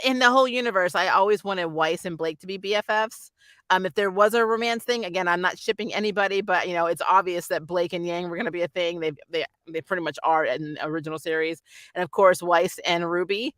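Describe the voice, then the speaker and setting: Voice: mid-range voice, sharp tone, dry recording. A reviewer chatting casually and emphatically, like a fan talking through an episode as host of a channel. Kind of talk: casual spoiler review chat.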